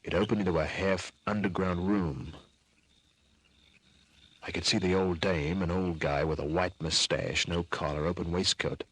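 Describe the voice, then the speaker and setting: An Australian actor playing a tough, wisecracking private detective in an old-time radio crime drama, narrating in terse, clipped slang with a rough edge. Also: male voice, deep voice, fairly even intonation, normal speed. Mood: terse, wary.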